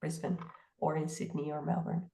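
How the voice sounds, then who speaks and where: A congested woman with a raspy voice, answering questions at length in an online info session. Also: low voice, little pitch movement, normal speed, dark tone. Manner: talks at length in long explanatory runs. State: congested, hoarse from illness. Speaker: partnerships staff member.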